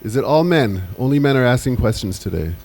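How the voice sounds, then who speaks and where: Dark, mellow-voiced male moderator. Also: mid-range voice, expressive, a little fast, gravelly. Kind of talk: informal event moderation.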